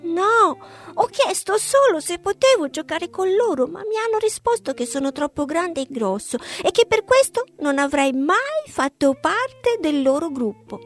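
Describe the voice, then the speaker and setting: A woman voicing a big, kind, lonely young male bear in a children's audio story, talking softly and earnestly. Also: very high voice, big pitch swings, measured pace, pitched above the performer's own voice, heavily acted. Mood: sad.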